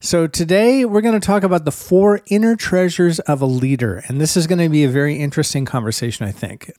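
A man's voice: mid-range voice, a little mellow, no room sound, clean studio sound. A middle-aged man hosting a business podcast, upbeat and encouraging as he welcomes and questions his guest. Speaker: podcast host.

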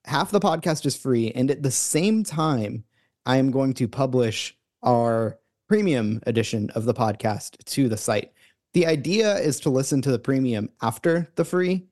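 A man's voice: mid-range voice, moderate pace, a little mellow. Guy cohosting a sports pod, loose rambly talk, lots of ums. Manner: loose, rambling, many fillers and restarts. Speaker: podcast co-host. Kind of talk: casual sports podcast chat. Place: remote recording, separate from co-host.

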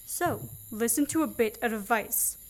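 A woman's voice: a little high, a little slow, lightly acted. A young woman playing a sardonic, commanding man on the run in a student radio play. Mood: mocking, menacing. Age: young adult. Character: fugitive man, sardonic, bossy, wrongly accused.

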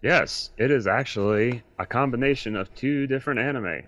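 A man's voice: medium pitch, moderate pace, fairly bright tone, lo-fi sound. A middle-aged man, chatting casually and explaining things as an expert guest.

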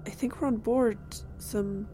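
An actress playing a calm woman held captive on an alien ship, speaking in a soothing, steady way. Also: fairly high pitch, measured pace, music in the background, lightly acted. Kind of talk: audio drama acting.

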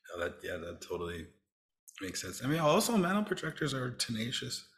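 A man talking casually as a podcast host, in a halting way that circles back to repeat and rephrase his phrases. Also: medium pitch, wildly swooping pitch, fairly bright tone. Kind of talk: casual conversational discussion.

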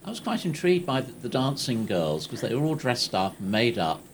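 A man asking a casual, measured and inquisitive question from the audience at a live event. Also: mid-range voice, sing-song. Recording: clearly noisy live room recording.

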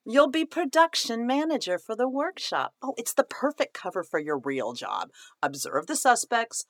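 An actress playing a scheming, imperious playwright in a radio play, with a melodramatic, emphatic delivery. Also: female voice, on the high side, very animated, normal speed, no echo, studio quality. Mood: sly, scheming.

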